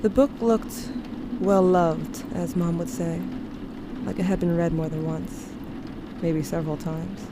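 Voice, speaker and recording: A woman plays a guarded teenager narrating an audio drama over clear background noise. The voice is on the low side, introspective and wry, confessing grief and guilt as if to someone lost. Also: fairly even intonation, measured pace, lightly acted. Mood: wry, reflective. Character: guilt-haunted, guarded teenage narrator grieving a dying loved one.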